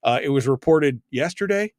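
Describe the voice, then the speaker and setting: A man hosting a call-in talk radio show, talking in a halting way and often repeating words. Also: mid-range voice, animated, a little mellow, dry recording, studio quality.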